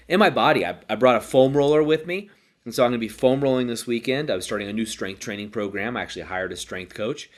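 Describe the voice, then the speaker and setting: Stuffed up guy w a cold, lowish voice, rambling self help chat from a hotel room. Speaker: podcast host. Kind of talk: casual daily self-help talk.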